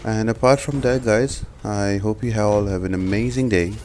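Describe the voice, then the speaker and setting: A man's voice: fairly low pitch, normal speed, darkish tone, slight background noise. A man giving a step-by-step gameplay tutorial as a voice-over, explaining things as a guide host. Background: background music.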